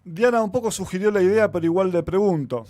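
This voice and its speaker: A man's voice: sits high, fast, mellow, no echo. A man, hosting an interview and asking polite, somewhat hesitant questions. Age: 30s. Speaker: host.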